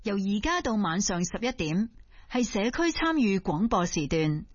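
A woman reads a formal station announcement in a calm voice. Her voice sits at a mid pitch. The sound is a little dull. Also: normal speed, faint music behind.

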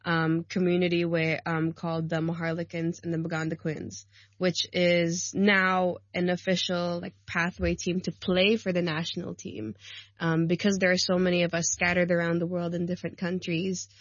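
A woman in her thirties talks in a slightly low voice as a guest on a radio show, rambling warmly with lots of ums and quick laughs. The studio sound is dry and close, with a touch of dullness in the audio. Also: level tone, no echo, lo-fi sound. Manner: chatty and warm, laughs often, many ums and likes. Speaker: guest, rugby player and coach. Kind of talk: casual radio interview. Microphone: studio mic.

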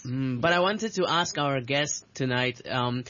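A man's voice: medium pitch, a little slow, pushed and bright. A man co-hosting a radio interview, asking curious questions and dropping in short affirmations. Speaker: radio co-host. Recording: slightly dull broadcast audio.

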